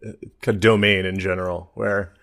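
A man's voice: low-pitched, level tone, normal speed, creaky, fairly bright tone. A man hosting a podcast in casual comedic chat, with a rambling, joking way of talking. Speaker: podcast host.

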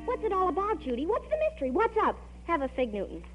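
An actress playing a movie-mad teenage best friend in an old radio comedy, gushing and knowing, with emphatic delivery. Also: female voice, extremely high pitch, very animated, fast, music in the background. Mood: curious, agog. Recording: muffled old radio recording, hum.

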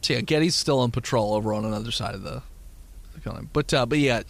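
Gravelly young man running a tabletop game. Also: mid-range voice, fast. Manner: laughs often, casual and profane. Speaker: game master.

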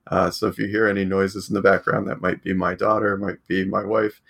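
A man hosts an academic book podcast from his home. His very deep voice stays flat and gravelly. He speaks hesitantly, often restarting sentences. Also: a little fast. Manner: hesitant, many fillers and restarts. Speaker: podcast host.

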